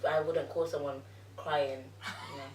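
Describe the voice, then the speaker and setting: A woman's voice: low-pitched, little pitch movement, moderate pace, darkish tone. A woman in her twenties in a casual panel chat, speaking frankly and matter-of-factly. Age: 20s. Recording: echoey room, distant mic.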